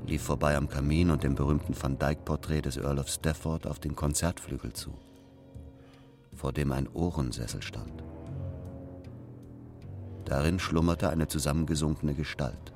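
An actor playing a menacing first-person narrator in a radio drama, measured and coolly ominous. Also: male voice, extremely low pitch, steady tone, over music. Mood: ominous, controlled.

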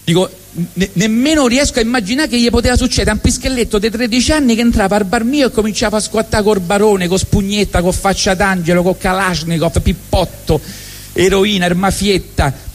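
A middle-aged man from Rome with a Roman accent, telling a wry, deadpan comic story on stage at a live event. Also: high voice, brisk, in a large hall. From Rome, Italy. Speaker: monologue performer.

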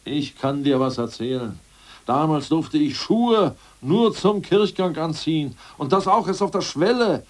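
A man playing a crude, scheming ousted party functionary in a radio play, boastful and plotting, muttering one moment and loud the next. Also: on the high side, animated, unhurried, far from the mic. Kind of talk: 1980s radio play acting.

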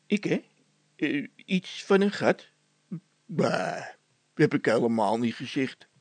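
A man's voice: medium pitch, expressive, takes it slowly, no room sound. A man voicing a dim, stubborn workman who whines and stammers evasively in a storybook. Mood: flustered.

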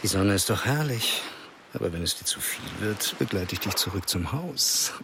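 Low, gravelly, suave father. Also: lively intonation, normal speed, pushed and bright, a little hiss or hum. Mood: courteous. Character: charming, easygoing middle-aged father and seducer. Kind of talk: naturalistic radio drama acting.

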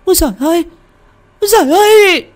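A man voicing a terrified young wife who stammers in shrill panic. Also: big pitch swings, normal speed, mellow, breathy edge. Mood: screaming.

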